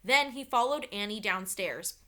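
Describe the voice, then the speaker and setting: A woman reading a children's story aloud like a teacher, with dramatic, expressive storytelling. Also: mid-range voice, normal speed.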